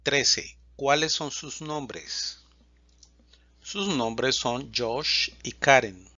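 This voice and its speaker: A man teaching a Spanish lesson, reading example questions and answers slowly and distinctly. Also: mid-range voice, bright, forceful tone, no echo, muffled recording. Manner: reads questions and answers slowly and distinctly, pausing between lines. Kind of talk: slow, clear language lesson reading example dialogues.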